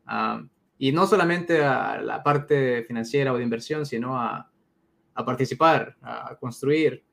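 A man's voice: mid-range voice, unhurried, creaky, a bit bright. A man from El Salvador, a guest answering interview questions thoughtfully with many fillers over a video call.

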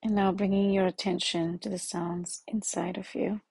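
A woman leading a guided meditation in a slow, soothing manner with long pauses. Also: medium pitch, level tone, vocal fry, dark tone, breathy edge, no room sound.

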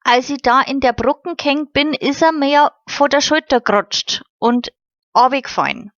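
A woman's voice: high-pitched, normal speed, fairly bright tone, no echo, dull audio, pitched above the performer's own voice. A woman voicing a cheerful, friendly young girl, chatting in dialect.

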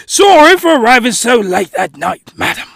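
Man putting on a fake British, higher-voiced polite young guy. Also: very animated, moderate pace, vocal fry, a bit bright, dry recording, clean studio sound, heavily acted. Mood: polite, apologetic. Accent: exaggerated fake British.

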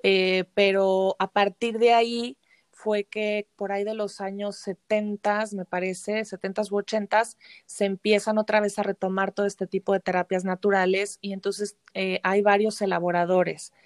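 A woman's voice: mid-range voice, level tone, normal speed, dry recording. Mexican woman explaining, close dry sound. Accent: Mexican Spanish. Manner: explanatory, often ends phrases with tag questions. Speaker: interview guest, flower remedy practitioner and teacher. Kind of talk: conversational explanation in an interview.

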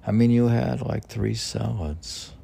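A man talking casually and haltingly, musing and muttering to himself as he reads aloud. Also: mid-range voice, creaky, mellow, husky. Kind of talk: casual rambling talk while reading poems aloud.

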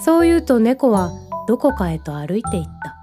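A woman playing a gentle, dreamy young narrator in a scripted radio drama, with a soft, reflective storytelling manner. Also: fairly high pitch, very animated, normal speed, music in the background. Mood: reflective, tender.

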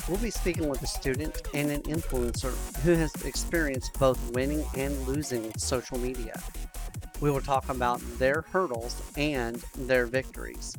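A man hosting a podcast, easygoing and quick to laugh along with his guest in casual chat. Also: fairly high pitch. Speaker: podcast host.

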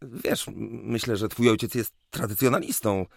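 An actor playing an earnest, nervous young suitor in a historical radio drama, speaking politely and hesitantly with some stammering. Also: male voice, lowish voice, normal speed, gravelly, no room sound, studio quality. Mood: gentle, earnest.

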